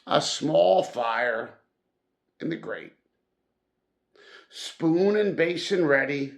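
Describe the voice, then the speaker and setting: Very slow, creaky male storyteller. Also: fairly high pitch, lively intonation. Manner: deliberate, dramatic phrasing with emphatic stresses. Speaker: audiobook-style reader. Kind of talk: storybook read-aloud narration.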